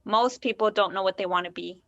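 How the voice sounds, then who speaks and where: A woman's voice: medium pitch, fairly quick, no room sound, dull audio, lightly acted. A woman acting a naive, unsettled nineteen-year-old confiding in a stranger in a naturalistic play. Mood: guarded. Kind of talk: naturalistic one-act play dialogue.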